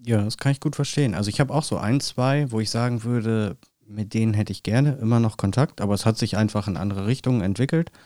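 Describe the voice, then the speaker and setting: A man talking in a calm, measured way in a casual chat, with dry humor. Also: fairly low pitch, no room sound.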